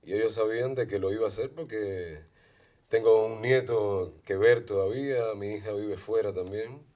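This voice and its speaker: A man's voice: on the low side, moderate pace, a little mellow. Outspoken older Cuban man. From Cuba. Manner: emphatic, outspoken. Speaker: podcast guest. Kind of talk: casual conversation.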